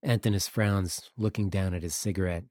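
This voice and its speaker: A man's voice: deep voice, fairly even intonation, normal speed, darkish tone, no room sound, clean studio sound. A man narrating a work of fiction as a scripted audiobook.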